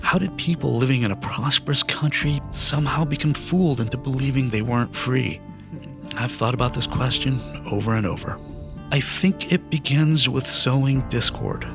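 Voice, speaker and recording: A man in his fifties who grew up in Iran with Farsi as his first language, narrating a personal story from a script in an earnest, measured way. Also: medium pitch, music in the background.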